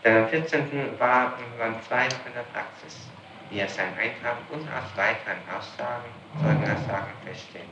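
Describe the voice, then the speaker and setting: An old, noisy interrogation tape from the 1960s in an echoey room. A man of average pitch questions as a prosecutor. His delivery is official and methodical, pressing for answers. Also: moderate pace, muffled recording. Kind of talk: formal interrogation. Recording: noisy, roomy archival 1960s tape recording.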